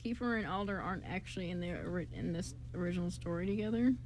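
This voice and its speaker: A woman's voice: on the low side, animated, fast, a little mellow. A woman co-hosting an amateur radio show in casual, informal, rambling chat. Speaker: radio show co-host and writer. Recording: amateur recording with background noise.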